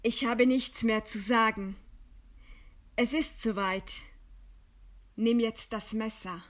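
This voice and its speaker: High, slow, dignified doomed idealist played by a woman. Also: fairly even intonation. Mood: resigned. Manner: solemn, measured, declamatory. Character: dignified doomed idealist facing execution, resigned and proud. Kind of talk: literary radio play acting.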